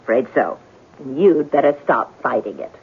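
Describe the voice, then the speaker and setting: Husky, opinionated housekeeper. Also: female voice, rumbling low, expressive, normal speed, exaggerated voice. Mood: teasing, certain. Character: nosy, opinionated housekeeper woman, blunt and unbudging. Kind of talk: 1970s radio drama acting. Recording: tinny old radio broadcast.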